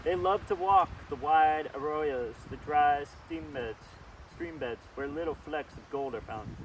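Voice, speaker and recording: Man reading a storybook outdoors, noisy, distant mic. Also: mid-range voice. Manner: chatty, easily sidetracked, commenting as he reads. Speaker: storybook reader. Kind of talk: informal read-aloud with personal asides. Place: outdoors by a river. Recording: distant outdoor mic with steady background noise.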